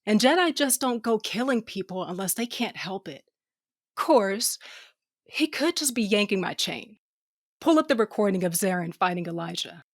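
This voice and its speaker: A woman's voice: medium pitch, expressive, moderate pace, no room sound. Woman playing a tough sardonic female captain, dry close recording. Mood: wry narration. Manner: blunt, wry, slangy. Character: tough, sardonic, foul-mouthed female ship captain with a cybernetic eye. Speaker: narrator and lead character. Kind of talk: first-person audio drama narration and dialogue.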